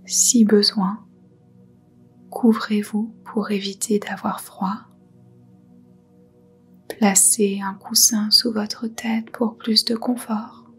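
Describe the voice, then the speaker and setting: A woman softly guiding a meditation in a breathy, whispery voice, soothing and unhurried. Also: medium pitch, level tone, faint music behind. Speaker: yoga nidra guide. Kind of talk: guided meditation, soft whispered narration.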